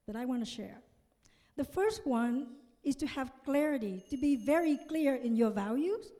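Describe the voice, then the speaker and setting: A woman in her fifties with a Vietnamese accent delivers a motivational conference talk in a high voice. She is earnest and speaks directly to her listeners, and the words ring through a large, reverberant hall. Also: mellow. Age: 50s. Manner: earnest, addresses the audience with questions. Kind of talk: motivational conference speech with personal story.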